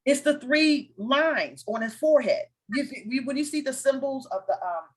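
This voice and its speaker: A woman's voice: high voice, animated, moderate pace. Confident woman explaining spiritual ideas. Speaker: host of a spiritual discussion. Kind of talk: casual spiritual conversation.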